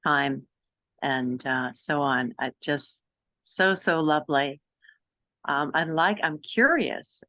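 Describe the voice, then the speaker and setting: A woman participant in a casual conversation, asking questions gently and thoughtfully. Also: sits low, dry recording. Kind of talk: casual chat.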